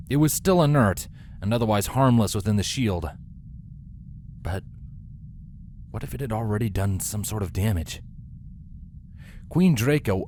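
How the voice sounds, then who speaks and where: Low-voiced man narrating a dramatic story. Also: darkish tone, a little hiss or hum. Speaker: fan fiction narrator. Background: faint background music.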